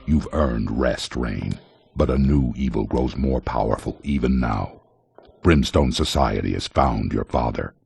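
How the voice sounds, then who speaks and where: An actor playing a grave, ominous game narrator, speaking solemnly in a gravelly, husky voice. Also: male voice, extremely low pitch, measured pace, darkish tone, no room sound, exaggerated voice. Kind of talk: slow, solemn scripted narration.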